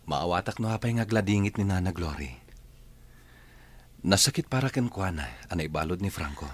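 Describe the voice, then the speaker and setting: A man's voice: low voice, expressive, fairly quick. A man with an Ilocano accent playing a considerate, consoling husband in a radio drama. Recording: faint music under the voice.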